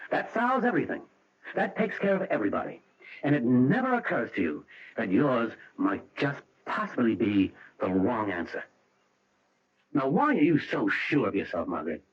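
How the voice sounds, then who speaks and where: A muffled old radio drama recording of a middle-aged husband, obsessive and bitter. His low, husky voice presses and insists, hammering phrases again with unsettling intensity. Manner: insistent, repeating himself for emphasis. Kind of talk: radio mystery drama acting.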